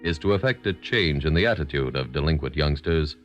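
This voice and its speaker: A man's voice: a bass voice, animated, fairly quick. An American man as a solemn, authoritative narrator of an old radio crime show, delivering scripted commentary. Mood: solemn, earnest. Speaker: announcer-narrator. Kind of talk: 1940s-50s radio announcing, scripted narration. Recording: dull old radio recording. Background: music.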